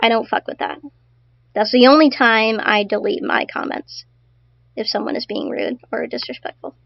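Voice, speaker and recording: A woman's voice: fairly high pitch, fairly even intonation, moderate pace, creaky, dry recording, lo-fi sound. A woman streaming casually to her chat, stuttering often and repeating her words. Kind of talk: casual live-stream commentary.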